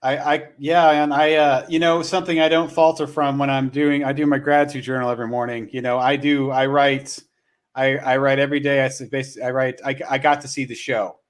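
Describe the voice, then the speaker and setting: A man hosting a casual live-stream conversation, speaking earnestly and haltingly, often repeating his words as he goes. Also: medium pitch, little pitch movement. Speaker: host.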